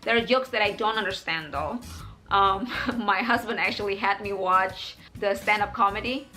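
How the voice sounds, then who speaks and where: A young woman with an Indonesian accent talks openly to her viewers in English, her second language. Her voice is bright and her pitch sits at a middle height. Soft music plays faintly behind her. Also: normal speed. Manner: open, reflective, chatty. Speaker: English teacher vlogger. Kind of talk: casual talk to camera.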